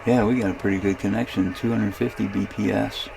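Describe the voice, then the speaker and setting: A man giving an informal, unhurried screen demonstration, thinking aloud as he goes, recorded inside a camper. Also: fairly low pitch, steady tone. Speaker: ham radio demonstrator and channel host. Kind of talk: casual screen-demo narration. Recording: clear background electrical and radio noise. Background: radio interference noise.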